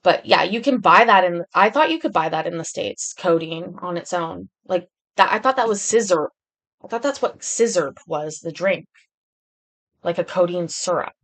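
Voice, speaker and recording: Chatty woman host, slightly low voice. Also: lively intonation, moderate pace, fairly bright tone. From Britain. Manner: rambling and chatty, many ums and restarts. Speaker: livestream host reading chat comments. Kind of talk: casual live chat.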